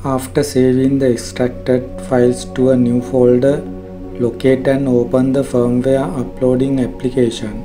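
Male narrator for a tech how-to: formal, measured, very flat intonation, clear music bed underneath. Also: mid-range voice. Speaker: tutorial narrator. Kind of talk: scripted instructional narration. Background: background music.

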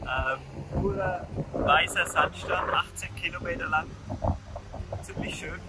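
A man's voice: a little high, measured pace, recorded from a distance. A young man talking casually to the camera on an open beach, with hesitant fillers. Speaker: sailing vlogger. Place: open beach outdoors, windy. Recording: wind-blasted camera mic outdoors.

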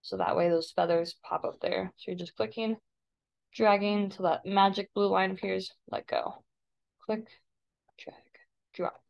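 A woman with a creaky voice, an art teacher casually explaining software step by step in a tutorial voice-over. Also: mid-range voice, level tone, a little slow, pauses often. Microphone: screen-recording voice-over. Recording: dull, lo-fi voice-over.

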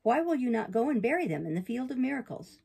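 A woman voicing a sly, flattering male fox in a storybook reading, speaking with smooth, theatrical sympathy. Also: a little high, fast talker, voice pushed up, full character voice. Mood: wheedling.

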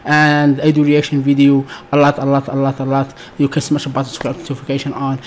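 A man in his thirties from Algeria with an Algerian accent, hosting a casual reaction video in halting, searching English with many restarts, close to a desk mic in a small room. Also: mid-range voice, completely flat delivery, darkish tone, a little hiss or hum. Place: small dark room indoors. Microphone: desk mic close to face.

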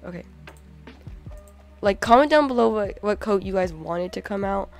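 Excitable young woman with a cold. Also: mid-range voice, normal speed, soft background music. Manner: excitable, many "oh my god"s and "like"s. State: hoarse from a cold. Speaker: gaming channel host. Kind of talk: casual gameplay commentary.

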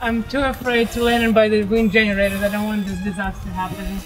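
A young woman chats in a giggly, easygoing way from the deck of a sailing catamaran out at sea. Her voice sits at a middle pitch, and clear music runs behind her. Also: little pitch movement, fast. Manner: giggly, chatty. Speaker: sailing vlog co-host. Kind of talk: casual vlog talk.

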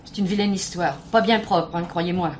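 A woman's voice: fairly low pitch, fairly even intonation, normal speed, a little hiss or hum, natural voice. An actress playing a plain-spoken, gossipy, indignant working-class woman giving testimony in colloquial, insinuating French radio drama style. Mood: confiding. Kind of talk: French radio play acting.

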